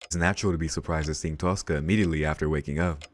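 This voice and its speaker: A man hosting a let's play, reading visual novel narration aloud in a measured storytelling way. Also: very deep voice, steady tone. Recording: game music behind the voice. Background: game music.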